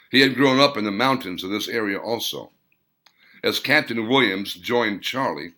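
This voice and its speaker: A man reading his own novel aloud in a measured, deliberate storytelling manner. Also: on the low side, vocal fry, pushed and bright. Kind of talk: audiobook narration.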